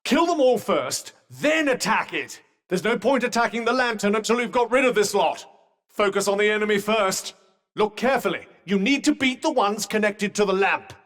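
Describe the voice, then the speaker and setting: A man's voice: high-pitched, fairly even intonation, moderate pace, fairly bright tone. An actor voicing a sentient magical cuff in a video game, a dry, witty and protective male companion with a British accent and a formal, well-spoken, advising manner. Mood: urgent. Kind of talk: scripted game dialogue. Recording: long reverberant tail on voice.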